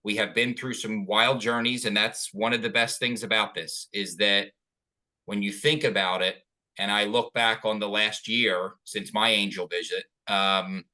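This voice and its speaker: A man's voice: lowish voice, fairly even intonation, a little slow, bright, forceful tone. Earnest rambling male podcast host. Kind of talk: casual interview chat.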